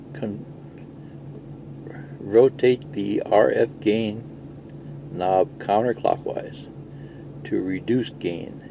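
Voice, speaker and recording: A man's voice: lowish voice, vocal fry, warm tone, slight background noise, rough recording. A middle-aged man giving a casual, rambling, plain-spoken hands-on walkthrough of a gadget, explaining as he goes. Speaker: CB radio video presenter.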